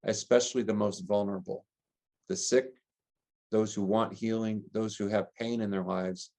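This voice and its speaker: An American man, a middle-aged priest, giving earnest, gentle interview answers and listing ideas in long chains. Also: lowish voice, fairly even intonation, normal speed, vocal fry. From United States. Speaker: priest and rector, interview guest.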